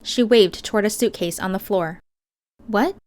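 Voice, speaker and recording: A woman narrates a novel in the first person. Her voice sits at a middle pitch. The reading is scripted audiobook storytelling. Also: moderate pace, a little mellow.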